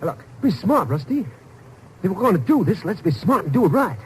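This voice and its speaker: A shifty, greedy American mechanic with a high-pitched male voice. He talks in grumbling slang, as in an old radio crime show. The recording is noisy. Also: very animated, fairly quick, darkish tone. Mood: nervous, shifty. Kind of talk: 1950s radio crime drama acting.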